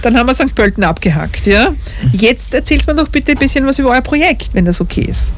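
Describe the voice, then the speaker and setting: A woman's voice: high voice, expressive, quick pace, a little hiss or hum. A woman with an Austrian accent hosting a casual live radio interview in a studio, chatting and laughing easily with guests. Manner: chatty, laughs often, warm questions. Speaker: radio host. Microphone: studio microphone.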